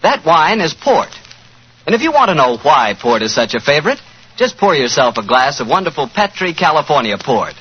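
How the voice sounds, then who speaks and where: A man's voice: fairly high pitch, sing-song, brisk, husky. An American man working as a 1940s radio announcer, with a polished, emphatic sales delivery. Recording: muffled, hissy 1940s radio recording.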